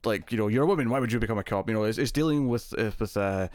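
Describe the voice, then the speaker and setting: A man's voice: on the low side, racing, a little mellow, dry recording, studio quality. A man hosting a casual film podcast, talking in a chatty way, laughing often and restarting words. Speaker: podcast host. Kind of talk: casual chat.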